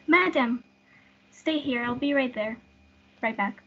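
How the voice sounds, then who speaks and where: A woman's voice: sits high, slow pace, husky, heavily acted. A young woman reading a lovestruck, eager girl in amateur reader's theatre. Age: teenager. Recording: dull lo-fi audio.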